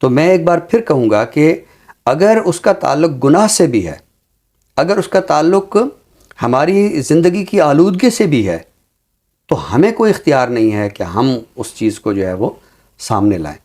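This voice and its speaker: A man speaking in a measured, deliberate and earnest way, explaining his views as a talk show commentator with a literary vocabulary. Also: on the high side, very animated, a little mellow.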